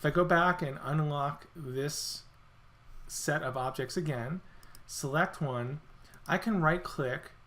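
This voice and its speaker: A man walking through a software feature in a screen-recorded demo, explaining step by step, his voice a little worn as he clears his throat. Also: fairly high pitch, slow pace, slight background noise. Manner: relaxed explanatory walkthrough with self-corrections. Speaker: software product presenter.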